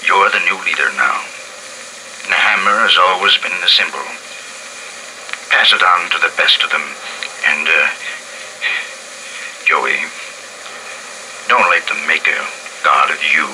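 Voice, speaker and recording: A man voicing a frail old grandfather who talks in a slow, halting, wistful way. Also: low voice, sharp tone, slight background noise, heavily acted, low-quality recording. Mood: earnest. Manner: slow, halting, trailing delivery. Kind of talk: audio drama reading.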